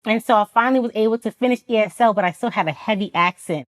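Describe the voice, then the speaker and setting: A woman's voice: medium pitch, quick pace. A woman from Florida whose first language is Haitian Creole, telling her personal story as a podcast guest in a reflective, earnest way. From Florida, United States. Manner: retells events with quoted dialogue, laughs often. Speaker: podcast guest, Army officer.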